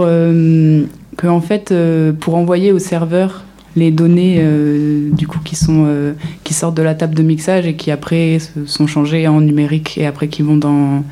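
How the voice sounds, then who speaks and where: A woman's voice: deep voice, mellow. A woman explaining things in an informal, rambling way during a casual group discussion.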